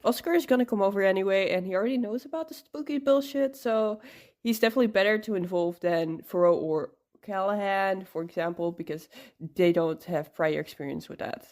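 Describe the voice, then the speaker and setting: A woman's voice: medium pitch, a little fast, darkish tone. A woman giving casual fan commentary in a listener voice submission.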